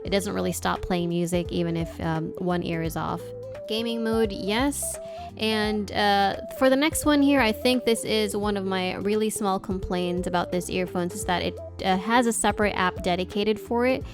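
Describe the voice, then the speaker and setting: A woman's voice: mid-range voice, fairly even intonation, normal speed. A woman giving a casual product review as the host of an unboxing video. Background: background music.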